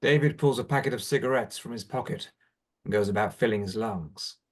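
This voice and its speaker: A man's voice: on the low side, a little fast. Male narrator reading stage directions. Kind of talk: scripted narration.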